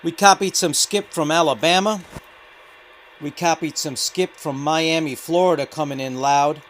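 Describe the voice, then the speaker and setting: A man's voice: a little high, fairly even intonation, normal speed, a bit bright. A man giving casual hobby commentary as host of a radio demonstration, with static and radio chatter behind him. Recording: noisy room with radio static.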